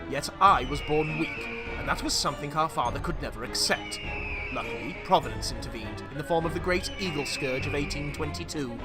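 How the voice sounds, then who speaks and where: A man voicing a cold, scheming elderly villain in a comic dub, dry, measured and scornful. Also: fairly high pitch, natural voice. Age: very old.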